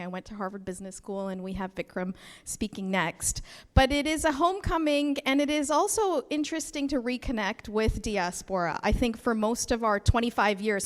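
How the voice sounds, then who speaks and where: A woman with a Canadian accent giving lively opening remarks as co-host of a conference. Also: a little high. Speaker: nonprofit leader and co-host. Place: conference hall. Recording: long hall reverb.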